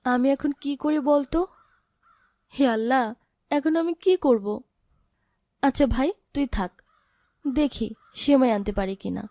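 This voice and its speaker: Woman acting a high-voiced, plaintive orphaned big sister. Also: unhurried, long pauses, dark tone, no room sound. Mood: helpless, coaxing. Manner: plaintive, pleading. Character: poor orphaned big sister, gentle, sorrowful, pleading. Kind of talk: dramatic cartoon voice acting.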